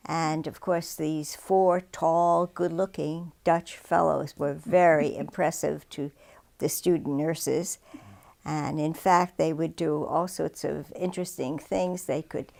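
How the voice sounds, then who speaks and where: An older woman with a low, gravelly voice tells stories as an interview guest. She has a lively anecdotal way of talking. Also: slow. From Brooklyn, New York. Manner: lively anecdotal storyteller, laughing often. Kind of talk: casual storytelling.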